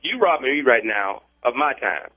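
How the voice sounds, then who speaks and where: A man's voice: mid-range voice, sing-song, moderate pace, husky. A man in an unscripted phone conversation, protesting and repeating himself over a thin phone line. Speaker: prank call target. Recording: tinny, band-limited phone line.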